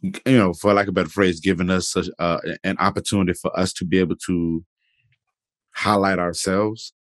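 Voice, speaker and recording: A man's voice: low voice, steady tone, fairly quick, dry recording, clean studio sound. A man hosting a podcast, asking questions in a loose, conversational way. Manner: loose and conversational, often adds "you know what I'm saying". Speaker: podcast host. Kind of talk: casual interview chat.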